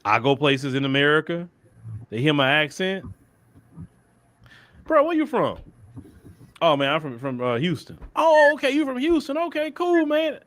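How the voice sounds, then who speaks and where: A man's voice: a little high, wildly swooping pitch, moderate pace, long pauses, fairly bright tone, dry recording. A man with a Southern Texas accent giving an emphatic, colloquial opinion monologue, often repeating phrases and acting out mock dialogue. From Port Arthur, Texas. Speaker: commentator.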